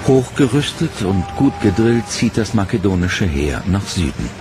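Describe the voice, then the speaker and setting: A man narrating a history documentary in a measured, dramatic scripted delivery. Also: fairly low pitch, sing-song. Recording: voice-over over loud music. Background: music bed.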